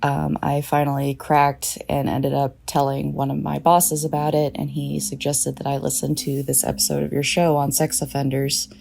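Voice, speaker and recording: A woman giving a listener message in a sober, steady way. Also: low-pitched, normal speed, creaky, few pauses.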